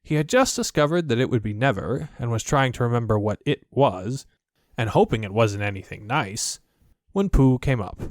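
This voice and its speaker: A man's voice: mid-range voice, lively intonation, normal speed, dry recording, clean studio sound. A man narrating a children's story as an audiobook reader, from a script.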